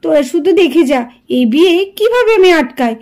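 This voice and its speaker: Jealous ghostly wife acted by a woman, high voice, scolding, insistent, defiant. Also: lively intonation, brisk, darkish tone. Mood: resolute. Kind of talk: puppet doll cartoon dubbing.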